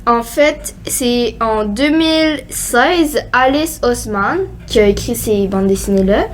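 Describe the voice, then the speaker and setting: Teen woman, Québécois accent, high-pitched, rambling casual podcast co-host. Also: slow, a little hiss or hum. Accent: Québécois French. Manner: rambling, many fillers like "genre" and "euh".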